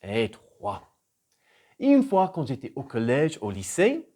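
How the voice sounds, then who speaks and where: Animated American man, warm lively delivery. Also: mid-range voice, slow pace. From United States (Florida). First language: English. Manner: animated and emphatic, swings between loud punches and soft drops. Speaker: English trainer and author. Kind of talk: motivational video lesson.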